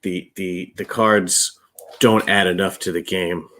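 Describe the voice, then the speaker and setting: Low-voiced man in casual chat. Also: fairly even intonation, slow pace, fairly bright tone. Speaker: co-host of a board game review show. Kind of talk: casual chat, reviewing board games.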